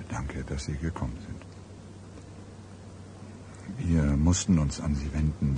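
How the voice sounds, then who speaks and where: An actor in a German radio drama playing a sombre, puzzled police detective, speaking gravely with halting, musing pauses. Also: male voice, a bass voice, background noise.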